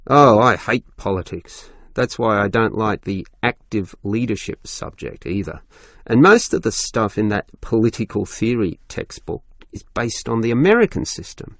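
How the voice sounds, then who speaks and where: A man's voice: lowish voice, lively intonation, moderate pace, dry recording. A man acting a blunt, complaining university student in a scripted exam dialogue. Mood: grumbling, blunt. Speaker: student in a listening test dialogue.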